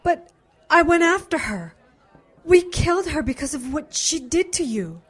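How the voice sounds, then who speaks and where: A slow-spoken, protective big sister. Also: female voice, sits high, sing-song. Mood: pleading, defensive. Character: protective, defensive big sister, out of her depth. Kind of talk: audio drama acting.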